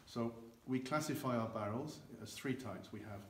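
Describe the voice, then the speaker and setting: A man's voice: mid-range voice, moderate pace. An older man explaining cognac aging as a guide in a warehouse, gesturing with his hands as he talks, recorded by a camera a few meters away. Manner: explanatory, gestures with both hands while talking. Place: large dim barrel warehouse with earthen floor. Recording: echoey warehouse, faint noise.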